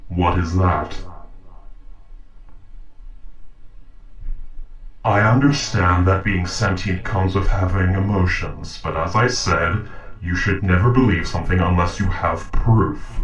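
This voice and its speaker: A man playing a calm, logical sentient robot in an audio roleplay, speaking in formal, measured, precise sentences. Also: low-pitched, reverberant, distant mic, low-quality recording. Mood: firm, skeptical. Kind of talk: dramatic audio roleplay acting.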